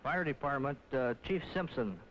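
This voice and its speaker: A man's voice: medium pitch, lively intonation, moderate pace. A man with an American accent chairs a formal briefing as a civil defense official, speaking in scripted sentences. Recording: dull, slightly noisy 1950s film sound.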